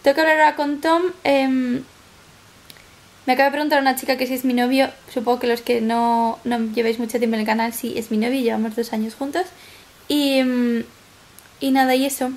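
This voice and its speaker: High-pitched, creaky young Spanish woman. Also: level tone, a little fast. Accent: Spanish from Spain. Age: 20s. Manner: rambling, chatty. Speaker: vlogger. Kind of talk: casual chat to camera. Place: small flat living room, indoors.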